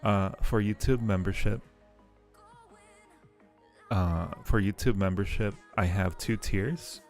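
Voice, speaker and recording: A man chatting casually with viewers as a livestream host, sometimes halting as he explains things. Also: on the low side. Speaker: streamer and audio roleplay creator. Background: faint music.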